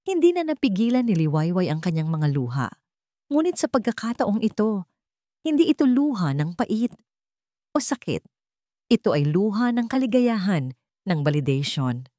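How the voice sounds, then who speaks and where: A woman's voice: medium pitch, very animated, moderate pace, long pauses, warm tone, no echo, studio quality. A woman reading a scripted, dramatic story as narrator.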